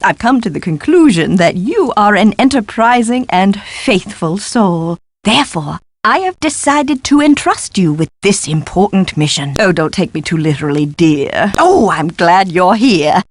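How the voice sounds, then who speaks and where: An actress playing an eccentric, excitable, absent-minded woman professor in a video game, with a flighty, pompous and exclamatory delivery. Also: mid-range voice, unhurried, few pauses, no echo. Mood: grandiose, confiding. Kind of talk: theatrical video game voice acting.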